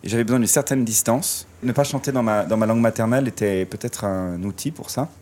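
A man's voice: on the low side, fairly quick, darkish tone. A man guest in a radio interview, speaking reflectively with many hesitations and restarts, through a surgical mask. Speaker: musician guest. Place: outdoors in a city street. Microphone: wearing a surgical mask.

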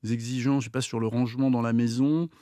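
A man speaking as an expert guest on a radio talk show, halting, with many fillers and restarts. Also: medium pitch, flat delivery, mellow, dry recording.

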